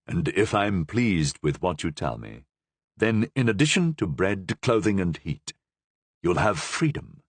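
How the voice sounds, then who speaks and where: A commanding church cardinal, as the narrator voices him, grave and authoritative. He speaks slowly and dips low on weighty words. A dry, close storybook read. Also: very animated, slightly rough, no echo, exaggerated voice.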